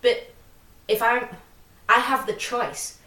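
A woman's voice: a little high, fairly even intonation, slow pace, pushed and bright, distant mic. A young woman co-hosting a podcast in casual chat, rambling and filling her talk with "like". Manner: rambling, fills speech with "like". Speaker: podcast co-host. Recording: roomy, slightly off-mic.

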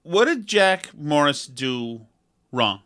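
A man with a high, bright and forceful voice banters on a podcast. He rambles and argues in a sarcastic tone, piling on mock praise. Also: very animated, takes it slowly, clear, resonant tone. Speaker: podcast co-host. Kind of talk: casual banter.

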